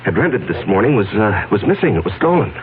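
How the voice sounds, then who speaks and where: An actor playing a scheming, nervous businessman with a halting, evasive manner in a 1970s radio drama. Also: fairly low pitch, very animated. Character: scheming, nervous businessman covering up his misdeeds. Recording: noisy, tinny old radio broadcast with hum.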